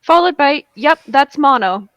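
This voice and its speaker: A woman's voice: high voice, expressive, measured pace, fairly bright tone, dry recording. A woman casually telling a personal story in a wry, self-deprecating way, acting out bits of dialogue on a video call. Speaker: guest on a charity livestream. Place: indoors at home, on a group video call. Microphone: video call microphone. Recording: dull, close video-call audio.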